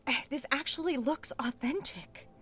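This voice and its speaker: An actress playing an excitable, curious woman exploring a creepy basement in a horror audio drama, expressive, as if talking to herself. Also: high voice, normal speed. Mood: excited, narrating discovery. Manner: expressive, muttering to herself and exclaiming.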